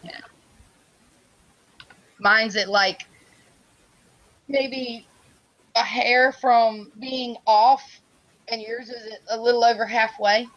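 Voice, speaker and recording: Loud-voiced woman chatting on a stream. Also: fairly high pitch, steady tone, slow, pauses often, no echo, muffled recording. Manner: loud voice, says so herself. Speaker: community project lead. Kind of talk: casual chat while streaming art work. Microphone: computer microphone on a voice chat.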